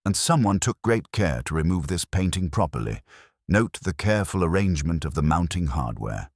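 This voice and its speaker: A man voicing a fussy, meticulous older Belgian detective, speaking in a measured, precise and formal way. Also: low voice, sing-song, no echo, clean studio sound, heavily acted.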